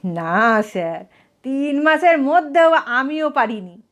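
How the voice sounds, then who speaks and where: A woman's voice: high voice, lively intonation, takes it slowly, a bit bright, exaggerated voice. A woman voicing an absent-minded, hapless male thief in a comic storybook reading, chatty and deferential, with an earnest, aggrieved whine. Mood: sheepish. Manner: whining, earnest, addresses listener as sir.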